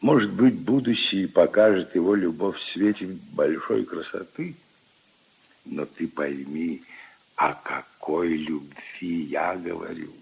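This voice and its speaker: Gravelly, husky old Russian-drama grandfather. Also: deep voice, slow pace, darkish tone, full character voice, rough recording. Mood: gentle, earnest. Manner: ruminative, emphatic, intimate storytelling to his granddaughter. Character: kindly old grandfather, opinionated, wistful storyteller of love. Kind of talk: 1950s radio drama acting. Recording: 1950s radio play recording.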